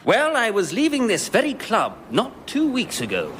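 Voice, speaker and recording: An actor playing a fussy British gentlemen's club member, dramatically recounting an eerie story in radio comedy. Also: male voice, high-pitched, wildly swooping pitch, moderate pace. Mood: mysterious, earnest. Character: tall lean moustached clubman recounting eerie story. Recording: noisy live audience recording.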